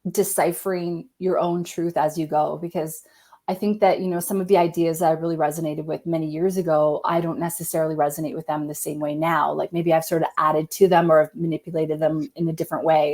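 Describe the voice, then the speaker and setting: A woman hosting a live-streamed chat, a little husky from a cold, talking in an upbeat, chatty way. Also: fairly low pitch, darkish tone. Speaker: host.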